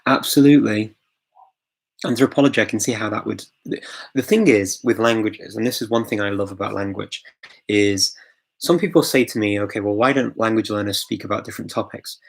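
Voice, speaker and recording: Live-stream host, male, low gravelly voice, relaxed and chatty with lots of ums and little chuckles. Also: brisk. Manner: chatty, filler-heavy, chuckles often, greets viewers. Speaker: host of a live language-learning Q&A. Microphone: phone.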